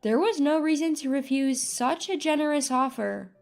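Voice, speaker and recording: High-pitched woman narrating over music. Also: moderate pace, gravelly, a little mellow. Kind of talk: scripted first-person story narration. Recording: music bed behind voice.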